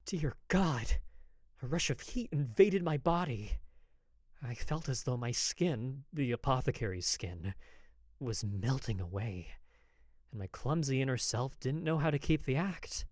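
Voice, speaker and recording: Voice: medium pitch, lively intonation, measured pace, a little mellow, no room sound, studio quality. A voice actor playing a nervous, lovestruck apothecary of the old west, confessing in hesitant, dramatic first-person narration for a podfic. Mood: flustered.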